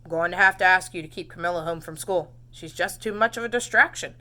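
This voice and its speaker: A woman's voice: fairly low pitch, fast, sharp tone. A woman voicing an apologetic but firm male school principal in a storybook, speaking formally and emphatically.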